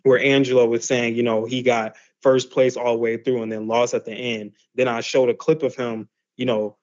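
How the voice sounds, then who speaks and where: A man's voice: mid-range voice, flat delivery, normal speed, muffled recording. A man teaching a class in a loose, conversational way, full of fillers and restarts. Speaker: class instructor. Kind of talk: casual explanatory lesson.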